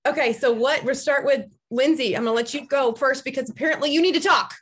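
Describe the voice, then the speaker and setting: Woman in her forties, host, chatty and animated, acts out quotes, video call from home. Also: high-pitched, fast talker, husky. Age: 40s. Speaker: podcast host. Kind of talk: casual group video call chat. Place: home room, indoors.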